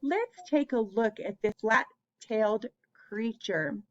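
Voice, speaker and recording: A woman's voice: fairly high pitch, very animated, slow, dull audio. A woman hosting an interactive picture-book read-aloud for young children, asking them questions and encouraging them as she goes.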